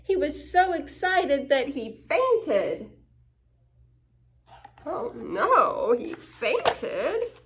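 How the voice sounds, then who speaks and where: Slow, higher-pitched woman reading a storybook. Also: slight background noise. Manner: expressive, dramatic shifts between hushed and excited. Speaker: children's story time reader. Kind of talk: read-aloud picture book narration with asides to listeners.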